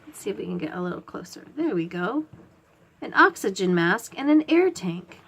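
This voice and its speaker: A woman's voice: medium pitch, sing-song, normal speed, vocal fry, slight background noise. A woman hosting a children's read-aloud, explaining a picture book to little ones in simple, instructive phrasing with questions to the listener.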